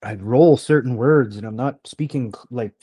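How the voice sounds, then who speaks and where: A man chatting casually on a podcast, rambling from one thought to the next and swearing often, with a slight rural twang. His voice sits in an ordinary middle pitch, recorded close and dry in a small quiet room. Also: moderate pace, slightly rough, no echo. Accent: slight rural Canadian twang. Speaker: podcast host. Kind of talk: casual conversation.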